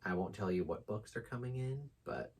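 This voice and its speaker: Low, husky-voiced man chatting about books. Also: animated, normal speed. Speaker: book channel co-host. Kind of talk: casual book chat.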